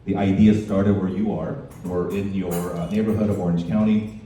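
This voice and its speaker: Very mellow, low-voiced man in an echoing room. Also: steady tone, normal speed. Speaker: event host and panel moderator. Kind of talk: moderating a live panel discussion. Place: indoor department store event. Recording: roomy echoing event space, distant mic, faint noise.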